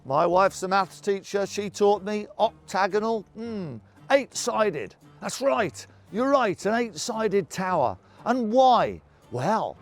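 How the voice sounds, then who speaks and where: A middle-aged man telling local history as a town crier, in a jovial, hammy, exclamatory manner full of rhetorical questions and dramatic pauses. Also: high voice. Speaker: town crier storyteller and guide. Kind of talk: theatrical historical storytelling to camera.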